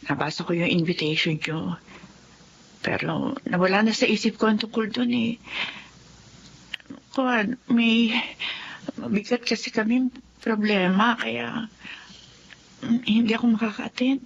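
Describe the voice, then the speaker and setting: An emotional middle-aged Filipina mother in a radio serial. Her voice sits a little high and falters under worry. The recording is lo-fi, with faint noise around it. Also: steady tone, vocal fry. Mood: troubled. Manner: emotive, halting when troubled. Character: distressed Filipina mother of a sick child, emotional, grateful. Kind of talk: Filipino radio drama acting. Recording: slightly noisy, dull lo-fi radio drama audio.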